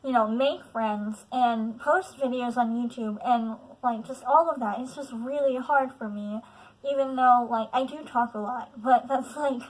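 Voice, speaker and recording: Young woman vlogger, late teens, nasal with a lisp, slightly high voice, halting and candid with lots of fillers. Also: rough recording. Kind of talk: personal confessional vlog.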